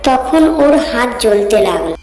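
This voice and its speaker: A woman narrating a scripted animated horror story as its storyteller. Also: a little high, normal speed, a little hiss or hum. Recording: echoey, long reverb, music behind.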